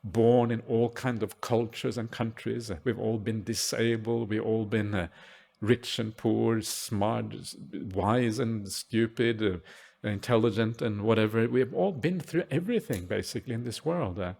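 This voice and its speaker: Husky man answering with frequent fillers. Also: on the low side. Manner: halting, many "uh" fillers, gentle. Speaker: Buddhist monk answering questions. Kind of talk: Q&A at a meditation retreat. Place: retreat hall at a forest monastery.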